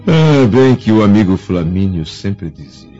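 Deep, dignified Roman senator. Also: male voice, sing-song, a little slow, dark tone. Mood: wry. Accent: Brazilian Portuguese. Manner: grave, formal, theatrical delivery. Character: anguished Roman senator, dignified older father. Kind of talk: Brazilian radio drama acting. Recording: muffled radio drama recording with music.

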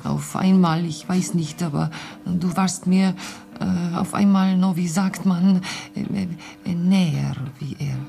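A contrite immigrant lover in a radio play. Her voice is low and creaky, and she feels her way through broken phrases, tender and plain-spoken. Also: steady tone, dark tone, soft background music. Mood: earnest confession. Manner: halting broken German, searching for words. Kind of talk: 1980s German radio drama acting.

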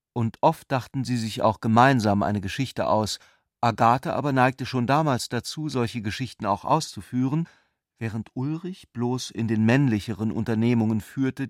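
A man's voice: mid-range voice, no echo, clean studio sound. A man narrating literary prose for a radio drama.

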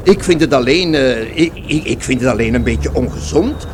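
An actor in a radio play playing a prim, disapproving bus passenger who questions others in a reproving, formal manner. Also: male voice, medium pitch, animated, moderate pace, a crowd in the background, close to an everyday voice. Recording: vehicle noise behind the voice.

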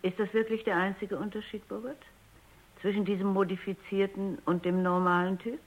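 A woman's voice: medium pitch, level tone, normal speed, close to an everyday voice. An actress playing an authoritative, exacting female robot expert in a German radio drama, speaking formally and precisely. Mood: firm, demanding. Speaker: narrator and investigator. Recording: slightly dull radio recording.

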